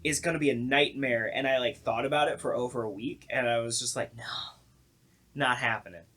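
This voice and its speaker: A man's voice: medium pitch, fairly even intonation, fairly quick, sharp tone. A man chatting casually and informally as the director of a radio play, with a sardonic, joking streak. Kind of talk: casual group podcast chat.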